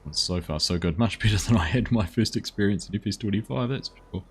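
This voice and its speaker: A man streams a farming game from his desk, his voice low and a little husky. He chats easily with his viewers as he plays, reading out their names and thanking them. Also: quick pace. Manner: chatty, reads out and thanks viewers. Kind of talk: casual live-stream commentary.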